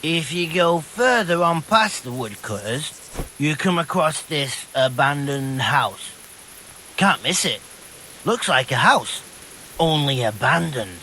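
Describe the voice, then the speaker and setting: An actor playing a dim-witted, easygoing young bandit in a video game, rambling and hesitant in simple colloquial speech. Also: male voice, fairly high pitch, lively intonation, slow pace, bright, forceful tone, slight background noise, full character voice, poor audio. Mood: rambling, vague. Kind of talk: video game dialogue acting.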